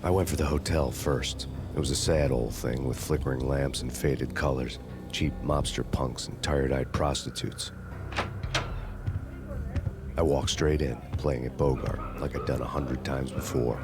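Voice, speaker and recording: Very deep and dry, this is a weary hardboiled cop, murmuring his bleak noir narration in a flat, cynical deadpan. Clear game music plays behind him. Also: a little slow. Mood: grim.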